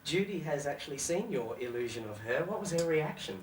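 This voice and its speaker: A man's voice: a little high, lively intonation, a little fast. A man interviewing a guest for a fan club, asking eager, admiring questions. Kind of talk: casual interview. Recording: roomy, distant, faint hiss.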